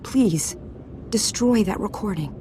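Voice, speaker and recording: A woman's voice: mid-range voice, animated, slow pace. A woman playing a proud, self-righteous senator in a video game, speaking earnestly and formally. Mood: pleading. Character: proud, self-righteous female senator defending her crimes. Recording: clearly noisy background ambience.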